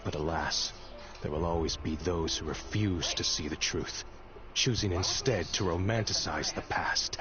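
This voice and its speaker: Loyal king's agent, fantasy game, low pitch, formal, grandiose, muffled sound with music. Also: unhurried. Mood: proud, solemn. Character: solemn, loyal male royal agent. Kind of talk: video game voice acting. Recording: dull game audio under loud music.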